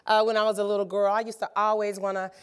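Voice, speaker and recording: Slightly raspy woman presenting a workshop. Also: medium pitch, little pitch movement, fast. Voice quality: many filler ums and uhs. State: slightly raspy from a sinus cold. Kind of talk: conference workshop talk. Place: conference room.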